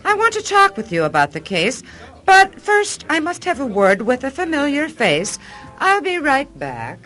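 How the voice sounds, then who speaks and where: An actress playing a grand, outgoing high-society widow in a radio drama. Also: female voice, wildly swooping pitch, moderate pace, a bit bright, faint music behind, heavily acted, poor audio. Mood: gushing. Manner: theatrical, grand.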